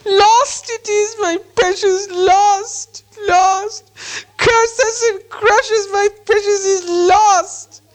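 A storybook reader voicing a wretched, obsessive cave creature, suspicious and whining, with a hissing screech and drawn-out, broken speech. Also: very high-pitched, steady tone, voice pushed up, heavily acted. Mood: anguished wailing. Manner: whining, drawn-out words, broken childlike grammar. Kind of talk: storybook character voice.

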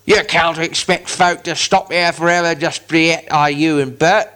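Man playing a rough loutish troll for a storybook reading, higher and louder than his own voice, rough common speech. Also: fairly even intonation, measured pace. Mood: grumbling. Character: big coarse male troll, gruff and greedy.

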